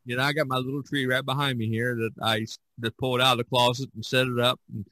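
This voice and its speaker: A man's voice: medium pitch, little pitch movement, fast, sharp tone, no echo. A middle-aged or older American man from Indiana hosting a casual podcast chat, often chuckling as he talks. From Indiana, United States. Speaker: podcast host.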